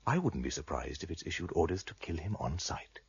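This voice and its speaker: An actor playing a shrewd, courtly blind trader in a radio drama, husky and gravelly, speaking in a measured, formal, wry way. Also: male voice, sits low, sing-song, no echo, dull audio, heavily acted. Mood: grave, knowing.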